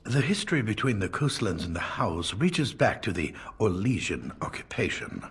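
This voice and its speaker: An actor playing an elderly, learned castle tutor in a video game, stern and fussy, lecturing theatrically. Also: male voice, lowish voice, very animated, normal speed, slightly rough, heavily acted. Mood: pleased, lecturing. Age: older.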